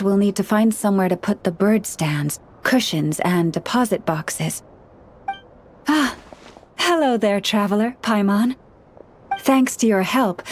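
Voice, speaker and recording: A woman voicing a gentle, earnest young woman who counsels animals in a video game, speaking in a measured, formal way. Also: medium pitch, natural voice. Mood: calm, pleased. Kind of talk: video game character dialogue. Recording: studio voice over background music.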